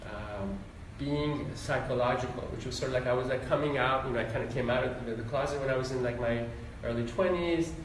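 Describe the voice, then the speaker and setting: A man born in Iran and raised in Ohio, with a Midwestern accent, giving a relaxed, rambling interview answer full of fillers. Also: mid-range voice, a little fast, few pauses, a little hiss or hum. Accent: Midwestern American. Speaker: interview guest, doctor and psychologist. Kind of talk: casual conversational interview. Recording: very echoey room, mic at a distance.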